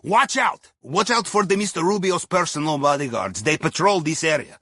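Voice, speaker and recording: A wry, jovial Russian submarine captain in a video game. His voice is fairly high for a man and husky, with a lively melody. He briefs his crew in clipped broken English with dry humour and a Russian accent. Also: moderate pace, no room sound. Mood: urgent, alert. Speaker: mission guide voice in a video game. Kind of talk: scripted video game radio dialogue.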